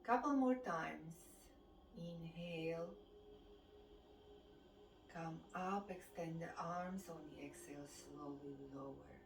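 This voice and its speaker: A woman from Romania with a Romanian accent, a fitness instructor guiding a qigong class with calm cues timed to the breath. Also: deep voice, slow, creaky, pauses often, noisy background. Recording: roomy sound from a distant mic.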